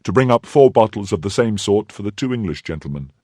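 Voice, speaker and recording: A man voicing a bold young musketeer who speaks in a brisk, commanding way. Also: lowish voice, animated, warm tone, breathy edge, dry recording, studio quality, voice pushed up.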